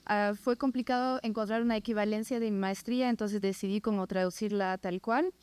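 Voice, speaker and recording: Young woman, French accent, native French speaker, student, academic presentation, clear smooth voice, dry close sound. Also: mid-range voice, fairly even intonation, brisk, darkish tone, no room sound. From France. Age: 20s. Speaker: master's student presenting research.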